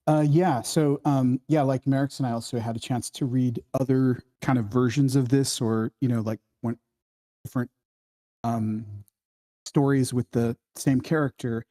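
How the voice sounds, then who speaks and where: A man giving casual spoken feedback in a script workshop, halting and informal as he thinks aloud. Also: mid-range voice, steady tone, warm tone.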